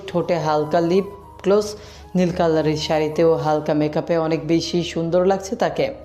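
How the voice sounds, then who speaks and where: Storyteller for a scripted audio romance, mid-pitched narration over background music. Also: warm tone.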